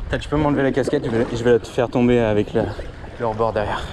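A man's voice: medium pitch, quick pace. Casual, sweary man on a noisy boat. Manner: colloquial, swearing. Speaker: angler on a fishing trip. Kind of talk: casual chat while fighting a fish. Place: outdoors on a boat at sea. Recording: windy boat noise. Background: boat, wind and water noise.

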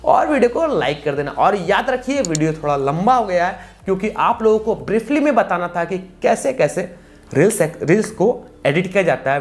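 A man in his twenties with an Indian accent, hosting a casual step-by-step tutorial in a repetitive, instructional way. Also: high-pitched. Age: 20s. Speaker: YouTube tutorial host.

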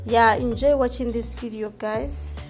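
A woman's voice: fairly high pitch, fairly even intonation, a little slow, a little mellow, faint music behind. A woman chatting casually and hesitantly while she cooks in her home kitchen, with many fillers as she talks to viewers. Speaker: vlogger cooking for her family. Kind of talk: casual cook-with-me narration.

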